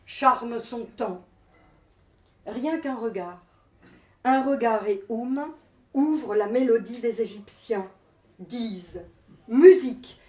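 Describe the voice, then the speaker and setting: A woman's voice: fairly high pitch, a little mellow. A woman reciting poetry in a declamatory, incantatory manner, in short separated phrases, as a poet performing her poem. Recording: roomy, echoing live space.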